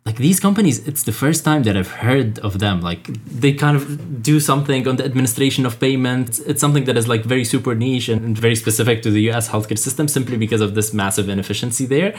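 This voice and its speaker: A man's voice: mid-range voice, quick pace, few pauses, breathy edge. A man co-hosting a podcast interview, enthusiastic, with frequent "like" and restarts. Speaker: podcast co-host. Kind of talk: conversational interview.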